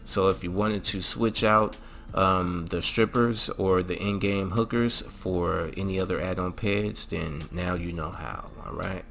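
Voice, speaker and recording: A man's voice: low voice, measured pace, vocal fry, fairly bright tone, faint music behind. A man hosting a gaming channel, walking through a mod tutorial in a casual way with lots of fillers and a repeated "all right?".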